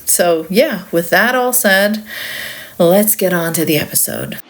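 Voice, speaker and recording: A woman's voice: on the low side, unhurried. A woman from Saudi Arabia hosting a podcast, giving a reflective political monologue in a candid, conversational way with wry asides. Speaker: podcast host.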